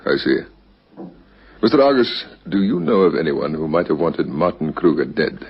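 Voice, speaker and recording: An actor playing a brisk, persistent police captain in a radio crime drama, questioning formally. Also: male voice, very deep voice, very animated, slightly rough, full character voice. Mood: pressing, suspicious. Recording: dull vintage radio recording.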